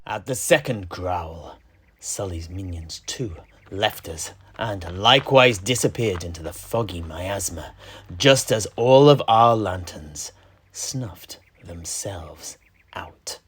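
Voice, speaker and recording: Low, slow male fantasy storyteller. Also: expressive. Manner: hushed, suspenseful, deliberate phrasing. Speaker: host, in-character storyteller. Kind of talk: dramatic fantasy story narration. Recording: clear background noise.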